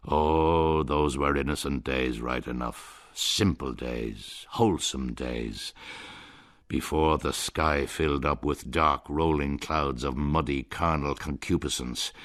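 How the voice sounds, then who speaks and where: Very deep-voiced Irish man, wry storyteller narrating a radio play. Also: fairly bright tone.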